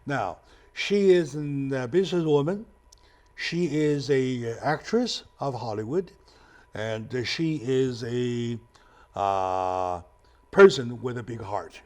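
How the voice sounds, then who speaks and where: A man hosts a talk show at a slow pace, in a mid-pitched voice. His phrasing is halting and hesitant as he leads the interview. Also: darkish tone. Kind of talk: hosting a studio interview.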